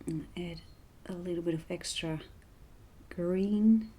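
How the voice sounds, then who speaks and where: A hoarse woman talks through her painting in a slow, soft, mellow voice, sitting a little low in pitch. Now and then she sinks to a near whisper, and she keeps a calm teaching tone throughout. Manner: soft-spoken, unhurried, drifting into quiet near-whispers. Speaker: art tutorial host and voice teacher. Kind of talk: calm painting tutorial narration.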